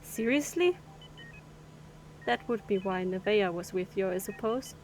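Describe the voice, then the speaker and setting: A woman's voice: medium pitch, expressive, unhurried, darkish tone, slight background noise, rough recording. A woman playing a frank, rueful cargo captain in an audio drama, talking in a matter-of-fact way.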